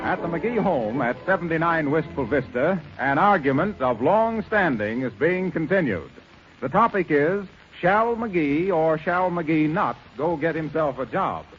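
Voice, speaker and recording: A man works as a polished radio announcer, reading a formal script in showy 1930s style. Music plays clearly behind him. The old broadcast sounds dull and lo-fi. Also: on the high side, animated, unhurried. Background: orchestra music.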